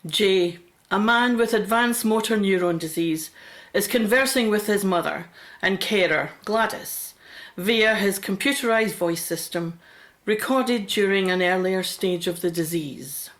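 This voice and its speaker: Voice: mid-range voice, unhurried, fairly bright tone. A narrator reading scripted scene-setting for a radio drama.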